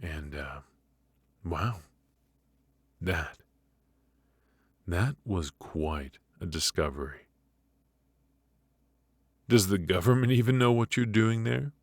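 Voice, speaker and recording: A man playing a teasing, menacing mafia boss in an ASMR roleplay, speaking in a halting, mock-polite, softly taunting way. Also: low voice, animated, breathy edge, dry recording, studio quality, heavily acted. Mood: probing, amused.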